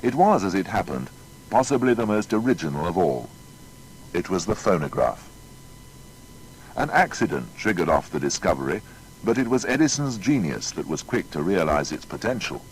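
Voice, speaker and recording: A low male voice reads scripted biographical narration. His storytelling is measured but melodically varied. A faint rumbling noise runs underneath. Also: big pitch swings, rough recording.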